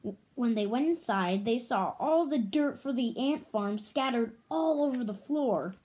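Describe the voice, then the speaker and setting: A woman reading a children's story aloud, pausing to add her own comments and questions for listeners. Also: on the high side, lively intonation, a little mellow.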